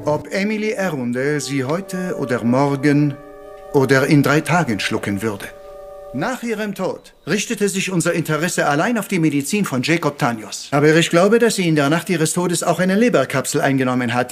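Guy acting as little detective, highish voice, polite and theatrical, music underneath, old crime radio play. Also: expressive, lightly acted. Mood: dramatic, explanatory. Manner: courteous, deliberate, peppering speech with French words. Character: polite, theatrical little Belgian detective, patient and self-assured.

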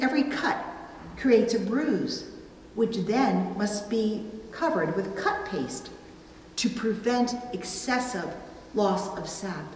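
A middle-aged woman delivering a scripted sermon in measured, careful phrases. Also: medium pitch. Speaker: preacher. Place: church sanctuary at a wooden pulpit, indoors. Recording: very echoey hall, distant mic, faint noise.

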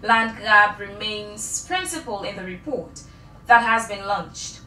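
A woman's voice: medium pitch, slow pace, pushed and bright, slight background noise. A young woman with a Sierra Leonean accent reading scripted local news as an anchor. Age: young adult. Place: TV news studio. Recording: roomy, distant mic, faint music.

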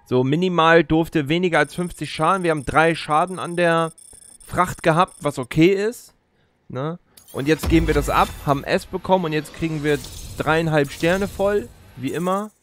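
A man's voice: fairly high pitch, normal speed, fairly bright tone, soft background music. A man in his thirties giving casual, explanatory gameplay commentary into a close streaming mic. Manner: explanatory, chatty. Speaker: let's play host. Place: indoor streaming setup. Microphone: close streaming mic at gaming chair.

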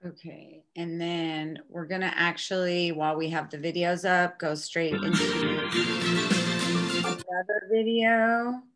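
Brief: lowish-voiced woman leading a workshop, repeats her questions to the room. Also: fairly even intonation, a little slow, fairly bright tone, faint music behind. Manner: repeats prompts to the audience. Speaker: workshop presenter. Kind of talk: conference workshop talk.

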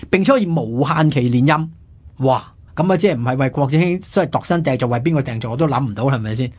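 Hong kong cantonese guy, fast and a bit raspy, colloquial history storytelling on radio, explains stuff with little exclamations. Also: fairly high pitch. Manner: colloquial, explanatory, with exclamations and self-posed questions. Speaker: radio history programme host. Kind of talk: conversational historical narration.

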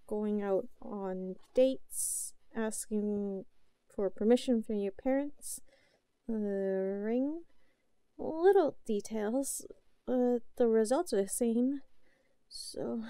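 Casting: actress as sweetly unhinged yandere girlfriend for audio roleplay; mellow, unhurried, cooing, possessive. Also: on the high side, no echo. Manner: cooing, halting, breathy asides. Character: obsessive, possessive yandere young woman, sweetly unhinged lover. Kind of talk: roleplay audio drama monologue.

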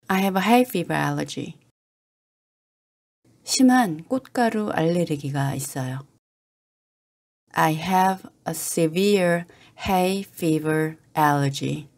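Woman slowly drilling English phrases. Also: low voice, animated, creaky, darkish tone. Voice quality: careful, clipped repetition. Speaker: English teacher. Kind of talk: slow drill reading of phrases.

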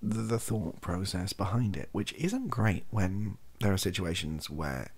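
A British man around thirty giving improvised, softly spoken comedic commentary in a rambling, dryly humorous way, often interrupting himself. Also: low voice, lively intonation, measured pace, husky. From Wales, UK. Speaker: comedy ASMR video creator. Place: small cluttered bedroom or home office, indoors.

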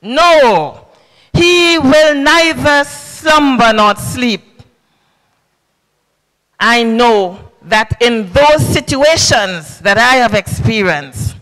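Woman in her fifties, lay sermon, slow and bright, handheld mic in a reverberant hall. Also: sits high. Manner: emphatic, preacherly, with sing-song cadences and repetition. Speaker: government official preaching a sermon. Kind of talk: sermon at a public service church service. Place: large indoor hall at a podium. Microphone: handheld microphone. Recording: long reverb in a large hall.

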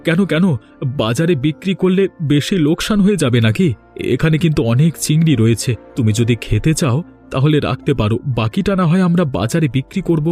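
A man's voice: on the high side, lively intonation, brisk, a little mellow, natural voice. A man voicing a worried, humble village husband in a cartoon, earnest and questioning, with music underneath. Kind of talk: Bengali cartoon dubbing.

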